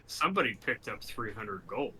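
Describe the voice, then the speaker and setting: A man bantering casually as a tabletop game player, halting as he searches for words. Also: on the low side, lively intonation, crowd noise, poor audio.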